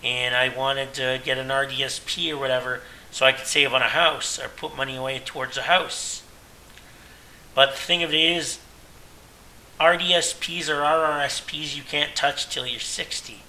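A man's voice: medium pitch, steady tone, normal speed, bright, forceful tone, slight background noise, rough recording. A Canadian man in his late thirties, talking earnestly and at length in a rambling, self-correcting personal monologue as a podcast host. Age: 30s.

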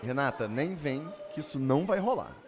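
A man's voice: mid-range voice, expressive, moderate pace, darkish tone, close to an everyday voice. A Brazilian man voicing a frank, flirty inner voice in an audio drama. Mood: firm. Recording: music behind the voice.

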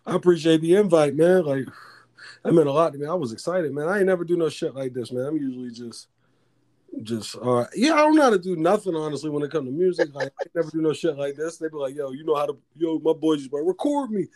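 A man with a deep voice, a rapper guest in casual interview chat, slangy and swearing freely. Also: lively intonation, fast talker, a little mellow.

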